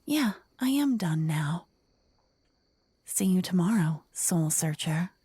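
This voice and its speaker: Lady playing a nasty rival necromancer girl, mocking and threatening, low husky whispery voice, asmr fantasy rp. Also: expressive, slow, dark tone, no echo, full character voice. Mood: mocking, spiteful. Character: spiteful, taunting rival necromancer woman, former best friend. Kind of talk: fantasy ASMR roleplay acting.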